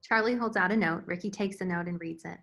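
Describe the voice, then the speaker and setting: A woman's voice: fairly low pitch, fast talker, creaky, a bit bright. A woman reading scene directions as scripted narration at a screenplay table read. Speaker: stage directions reader.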